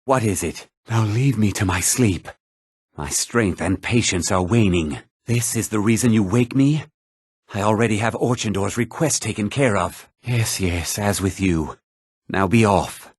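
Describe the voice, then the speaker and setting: A husky, haughty fantasy game schemer. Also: male voice, lowish voice, measured pace, no room sound, studio quality. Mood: irritated. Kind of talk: video game character dialogue.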